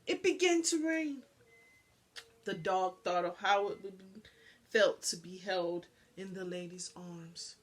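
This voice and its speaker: A woman narrating a children's storybook aloud in an expressive style. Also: medium pitch, slow. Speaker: storybook reader.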